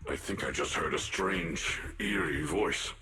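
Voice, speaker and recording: A man voicing a grave, watchful martial master in a video game, speaking in a solemn, formal, measured way. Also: a bass voice, echoey room. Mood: grave, wary.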